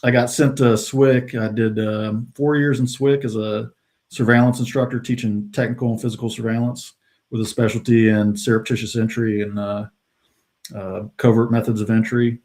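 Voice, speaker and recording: A middle-aged man from the United States, a retired soldier, telling his career story as a podcast guest in a relaxed way with many fillers. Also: medium pitch, moderate pace. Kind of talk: casual interview talk.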